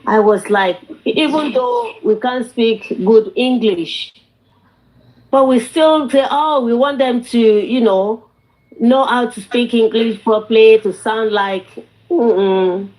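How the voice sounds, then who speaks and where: Slow-talking Nigerian woman, halting delivery. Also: a little high, darkish tone, rough recording. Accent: Nigerian Yoruba-language. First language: Yoruba. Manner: hesitant, halting, often says "you know". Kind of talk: casual chat.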